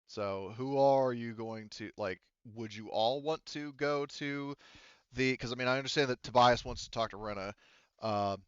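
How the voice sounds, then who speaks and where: A man's voice: mid-range voice, clear, resonant tone, dry recording, lo-fi sound. A man game-mastering a tabletop roleplaying game in a casual, rambling way, often correcting himself midway through a thought. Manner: halting, rambling, self-correcting. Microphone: online voice call.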